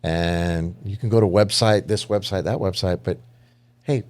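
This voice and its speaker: A man's voice: low-pitched, normal speed. A man hosting a podcast interview, chatty and affirming, asking long rambling questions. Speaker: podcast host. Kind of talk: casual conversational interview.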